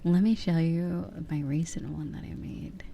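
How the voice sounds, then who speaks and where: A woman in her twenties chatting casually as an ASMR streamer in a soft, breathy voice that often drops to a whisper, rambling candidly close to the microphone. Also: low voice, steady tone, unhurried, muffled recording, a little hiss or hum. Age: 20s. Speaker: ASMR livestream host. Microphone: close ear-to-ear ASMR microphone.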